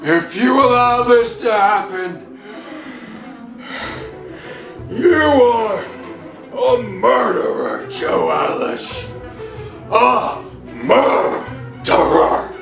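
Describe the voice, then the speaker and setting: A man playing an anguished, guilt-ridden husband in a radio horror drama, halting and agitated. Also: extremely high pitch, very animated, gravelly, exaggerated voice. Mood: tormented. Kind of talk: old-time radio horror acting. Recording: echoey room, faint music behind.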